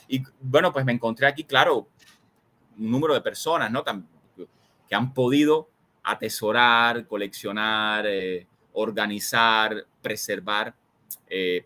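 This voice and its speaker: A man's voice: medium pitch, bright, forceful tone. A man with a Cuban accent speaking as an interview guest, reflective and discursive, emphatically building lists and repeating words. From Cuba. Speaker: interview guest, magazine editor. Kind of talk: conversational political and cultural reflection.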